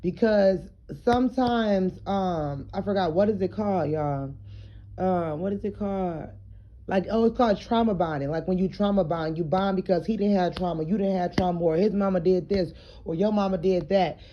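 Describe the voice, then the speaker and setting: A woman with a raspy, slightly hoarse voice, chatting casually to her followers in a home vlog while she does her hair, loose and streetwise with plenty of fillers. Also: lowish voice, normal speed, lo-fi sound, a little hiss or hum. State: hoarse, throat congested. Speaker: vlogger.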